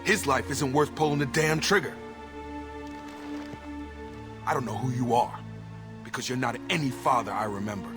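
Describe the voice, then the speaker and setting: A man's voice: medium pitch, brisk, close to an everyday voice. A young man playing a principled, bitter soldier son in a video game, blunt and accusing. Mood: outraged. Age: young adult. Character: bitter, principled soldier son confronting his disgraced father. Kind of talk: dramatic video game voice acting. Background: cinematic background music.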